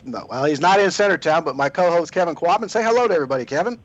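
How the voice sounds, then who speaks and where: A man hosting a radio talk show, slightly hoarse and chatty, often correcting himself mid-sentence. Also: a little high, animated, racing, dry recording. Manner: rambling, self-correcting, chatty. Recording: slightly dull lo-fi radio audio.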